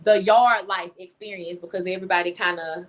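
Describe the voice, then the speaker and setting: A woman's voice: lowish voice, fairly even intonation, a little fast, poor audio. A young woman from Louisiana with a Southern American accent, chatting informally and emphatically as an interview guest. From Louisiana, United States. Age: young adult. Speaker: interview guest, singer and songwriter. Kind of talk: casual interview chat.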